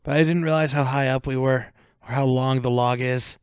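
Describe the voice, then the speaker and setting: A man's voice: mid-range voice, steady tone, normal speed, darkish tone, clear, resonant tone, no echo, clean studio sound, pitched above the performer's own voice, natural voice. A man voicing an earnest, slightly awkward cartoon kid with a hesitant manner.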